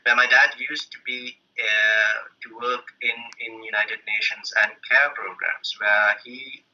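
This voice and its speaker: A man's voice: on the low side, steady tone, unhurried. A man with a Sri Lankan accent giving a conversational presentation with personal stories, as an academic speaker over an online call. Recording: muffled lo-fi online call audio.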